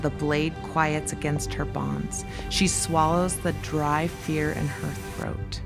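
Low female voice, dramatic narrator, scripted audio fiction, clear music bed. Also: little pitch movement, takes it slowly.

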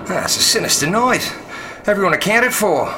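An actor playing a steady, sympathetic expedition doctor in a radio drama, musing and colloquial. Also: male voice, sits high, normal speed, background noise. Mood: concerned.

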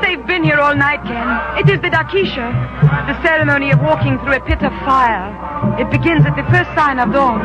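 An actress playing an earnest young female ruler of an eastern kingdom, speaking softly and formally in 1950s radio drama style. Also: sits high, a little fast, loud music behind the voice, close to an everyday voice. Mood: urgent. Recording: dull old radio broadcast with hum.

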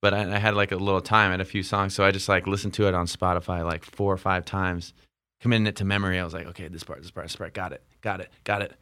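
A man's voice: sits low, level tone, fast talker, sharp tone, clean tone, dry recording, studio quality. A man, a musician guest on a podcast, telling a story in a rambling, laid-back way with lots of fillers. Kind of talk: casual storytelling.

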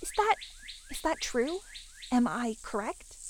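A woman playing a controlled, sweetly condescending camp leader in a horror audio drama, soft, measured and pointed. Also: a little high, very animated, a little hiss or hum. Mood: controlled, probing.

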